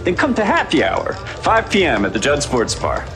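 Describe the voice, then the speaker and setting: A man voicing a deadpan radio ad announcer for a bar, with music behind him. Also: a little high, normal speed. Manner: deadpan ad read with dark punchlines. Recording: music bed under the voice.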